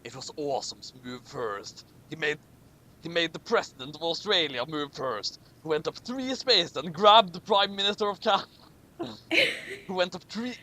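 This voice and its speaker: A man's voice: high-pitched, pushed and bright. A man hosting a comic reading of fan fiction, with mocking commentary and stumbles over his lines.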